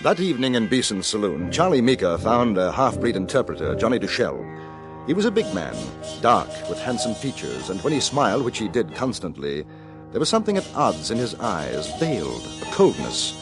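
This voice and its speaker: A man's voice: lowish voice, wildly swooping pitch, brisk, over music. A man with an English accent, courteous and polished, narrating and acting the lead in a 1950s radio drama.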